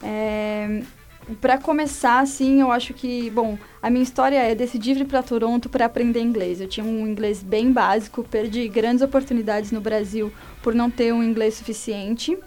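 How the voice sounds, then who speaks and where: A woman's voice: a little high, steady tone, normal speed, a little mellow, faint music behind. A woman from São Paulo with a Brazilian Portuguese accent, a guest telling her own story in a casual, conversational way.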